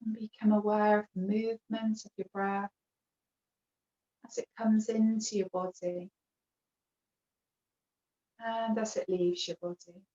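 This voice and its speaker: A woman yoga teacher with a mid-pitched voice leads a guided relaxation. She talks slowly and gently, leaving long gaps between phrases. The sound is slightly muffled and lo-fi, like a video call recording. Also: little pitch movement, roomy sound. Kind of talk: guided meditation instruction.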